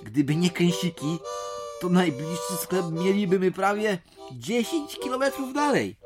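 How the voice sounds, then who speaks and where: An actor playing a rustic, colloquial village storyteller narrating a local legend in an unhurried way in an audio drama. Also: male voice, on the high side.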